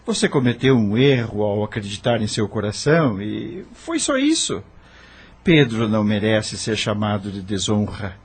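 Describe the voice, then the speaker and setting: A man's voice: on the low side, big pitch swings, normal speed, breathy edge, full character voice. A man playing an earnest, grieving doctor and family man in an audio novel. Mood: firm, reassuring. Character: earnest grieving widowed doctor, loving husband and father. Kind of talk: audio novel dramatic acting.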